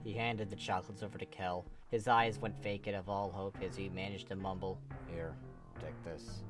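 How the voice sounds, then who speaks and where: A narrator reading fan fiction aloud in an expressive storytelling style. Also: low-pitched, fairly quick. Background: background music.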